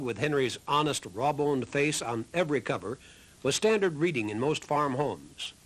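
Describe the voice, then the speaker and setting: A man's voice: medium pitch, breathy edge, rough recording. A man reading scripted narration for a historical documentary in the role of narrator.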